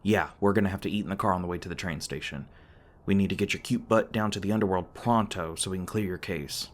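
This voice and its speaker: A man's voice: low-pitched, fast, lightly acted. A man playing a teasing, crass but caring demon boyfriend in a conversational audio roleplay, talking casually with some hesitation. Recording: clearly noisy background with ambient sound effects.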